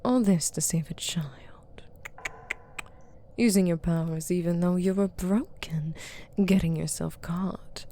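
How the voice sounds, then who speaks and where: A woman voicing a stern, taunting female demon captor in an ASMR roleplay, authoritative and teasing, often dropping to a breathy murmur. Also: on the low side, measured pace, warm tone, slight background noise. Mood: menacing, coaxing. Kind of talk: ASMR roleplay monologue to the listener.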